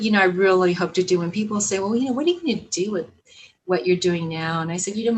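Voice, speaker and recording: A woman, telling stories in a casual podcast interview, animated and laughing often. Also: fairly low pitch, slight background noise, poor audio. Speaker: podcast guest, business owner.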